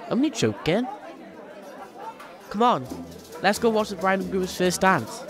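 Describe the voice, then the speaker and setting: An actor playing a cheeky, enterprising young chimney sweep who banters in an audio drama. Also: male voice, high-pitched, very animated, a little slow, a crowd in the background. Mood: bantering.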